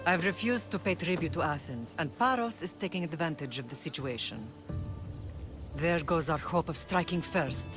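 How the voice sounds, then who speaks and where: An actress playing a commanding, protective middle-aged Spartan mother and leader in a video game, speaking in a measured, formal, authoritative way. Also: sits low, lively intonation, over music. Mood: grave. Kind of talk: dramatic video game acting.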